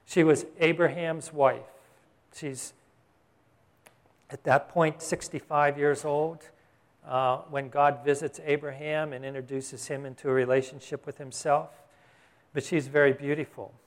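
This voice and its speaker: A man's voice: a little high, little pitch movement, a little slow. Man preaching a conversational sermon. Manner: conversational preaching, asks the congregation "Got it?". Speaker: pastor. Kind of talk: sermon, Bible teaching.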